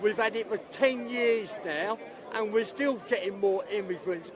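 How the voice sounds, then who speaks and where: A man with a high voice answers a street reporter bluntly and plainly. He speaks at a protest outdoors, and a loud crowd surrounds him. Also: normal speed. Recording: outdoor recording with crowd around. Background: crowd noise.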